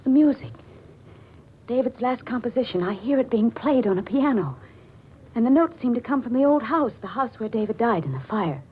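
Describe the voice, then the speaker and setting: An actress playing an anxious, haunted widow in a 1940s radio thriller, tremulous and melodramatic. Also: female voice, fairly high pitch, lively intonation, a little fast, breathy edge. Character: frightened, haunted widow living alone. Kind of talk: 1940s radio suspense acting. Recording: dull, hissy 1940s radio recording.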